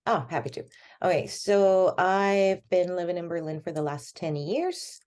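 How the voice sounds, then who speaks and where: A woman's voice: fairly low pitch, animated, measured pace, a little mellow. A woman in her thirties from Venezuela, a podcast guest talking casually about her career, full of fillers. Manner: rambling, many fillers like "like" and "you know". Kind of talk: casual conversational interview.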